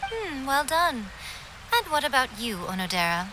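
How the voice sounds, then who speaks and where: Sly, high-voiced noble lady. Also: very animated, noisy background. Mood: composed authority. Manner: unhurried, playful, condescending. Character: poised, sly, teasing noble lady in charge. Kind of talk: video game voice acting. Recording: music behind the voice.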